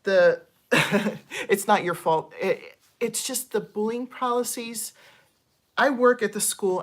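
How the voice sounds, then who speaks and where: A woman in her fifties chatting casually on a livestream, digressing often and thanking viewers as she airs candid, frustrated complaints with plenty of ums. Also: medium pitch. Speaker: channel host.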